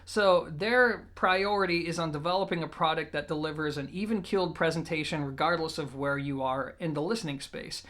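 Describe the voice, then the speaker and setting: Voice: medium pitch, a little fast, few pauses, a bit bright. A reviewer explaining audio gear in a conversational, methodical way with informal asides. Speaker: product reviewer, show host.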